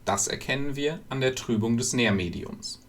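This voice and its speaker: A man's voice: mid-range voice, expressive, moderate pace. A man reading scripted narration for an educational science film, explaining and storytelling as he goes.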